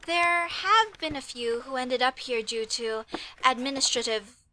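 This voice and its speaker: A woman's voice: sits high, a little slow, bright, forceful tone, a little hiss or hum. A woman voicing an earnest, apologetic young elf mage student in a comedy audio roleplay, talking in a hesitant, chatty way. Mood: chatty, upbeat. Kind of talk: comedy audio roleplay, speaking softly to the listener.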